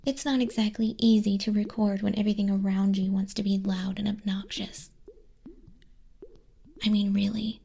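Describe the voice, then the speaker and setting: A woman playing a smug demon clerk in an ASMR roleplay, soft-spoken and often whispering, with a breathy, husky, condescending and teasing delivery. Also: medium pitch, flat delivery, moderate pace. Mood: smug, scolding. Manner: condescending, teasing, dryly bureaucratic. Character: smug female demon clerk registering sinners in hell.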